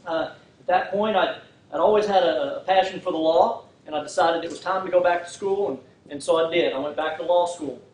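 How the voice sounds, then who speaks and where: A man's voice: on the high side, a little fast, darkish tone. A man giving a folksy campaign speech with lots of "uh" fillers and restarts, speaking in a community hall without a microphone. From Fort Worth, Texas. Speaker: political candidate. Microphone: no microphone, recorded from far off. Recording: echoey hall from far off, faint hiss.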